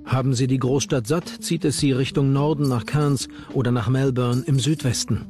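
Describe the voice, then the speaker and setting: A man's voice: medium pitch, fairly even intonation, moderate pace. Male true-crime narrator over music. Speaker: documentary narrator. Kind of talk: scripted true-crime documentary narration in German.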